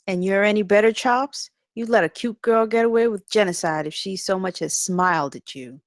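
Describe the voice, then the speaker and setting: A woman's voice: mid-range voice, normal speed, dry recording, clean studio sound, close to an everyday voice. A woman reading a chatty campus security staffer at a script table read. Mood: sassy.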